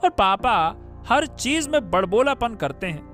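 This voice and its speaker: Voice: medium pitch, very animated, unhurried. A performer voicing a sarcastic young man of a rich family in a cartoon story, who speaks in a taunting way. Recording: soft background music.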